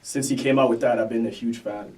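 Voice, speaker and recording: A man's voice: mid-range voice, steady tone, a little fast, a little mellow, far from the mic. A young man from Canada, a guest rapper talking casually in an interview, loose and laid-back with fillers.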